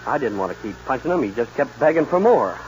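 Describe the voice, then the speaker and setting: An actor playing a hot-headed, bullying cowhand in a 1940s western radio drama, speaking bluntly. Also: male voice, medium pitch, very animated, quick pace, darkish tone, slight background noise, close to an everyday voice. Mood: insistent. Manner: blunt, confrontational. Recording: dull old radio recording.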